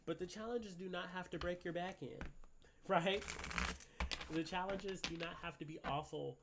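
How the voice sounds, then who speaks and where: A man with a stuffy, congested voice talks through a tarot reading, rambling and tagging "right?" onto his thoughts. His tone sits a little high with a gravelly edge. A faint hiss runs under the recording. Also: normal speed. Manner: rambling, filler-heavy, keeps asking "right?". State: congested from a cold. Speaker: tarot reader. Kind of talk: casual spiritual reading to camera. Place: at a desk indoors.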